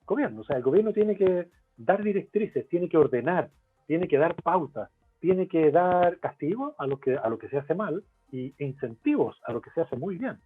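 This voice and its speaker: Chilean man, guest expert, fairly high husky voice, animated delivery, phone-like video call line with faint music. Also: normal speed. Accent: Chilean Spanish. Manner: explanatory, lively, lists points with rhetorical questions. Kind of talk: webinar interview.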